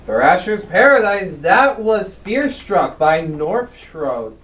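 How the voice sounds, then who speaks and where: A high-pitched man hosting a live college radio show, playful and easily flustered, laughing often. He sits a bit back from the mic, with faint noise behind him. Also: sing-song, slow pace, fairly bright tone, far from the mic, rough recording. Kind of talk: live radio studio interview.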